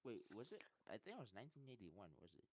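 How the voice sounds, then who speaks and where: A man's voice: mid-range voice, wildly swooping pitch, rapid-fire. A young man co-hosting a stream, laid-back and terse, answering with short "okay"s. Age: young adult. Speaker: co-host. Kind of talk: casual chat.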